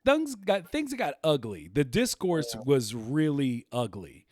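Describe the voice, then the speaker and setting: A man's voice: on the high side, very animated, a little slow, a little mellow. A man hosting a livestreamed podcast, talking casually and rambling, laughing easily. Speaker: podcast host.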